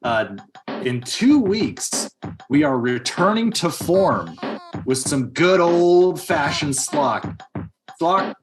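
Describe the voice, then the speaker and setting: A man hosting a podcast, discussing films casually in a musing, halting way. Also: on the high side, big pitch swings, over music. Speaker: podcast host.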